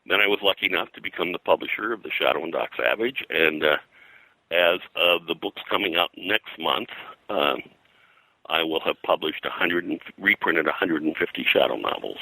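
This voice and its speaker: An older American man reminiscing as an interview guest, in a halting, rambling way. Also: low-pitched, lively intonation, vocal fry, pushed and bright, dry recording, low-quality recording. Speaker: guest, comics colorist and publisher.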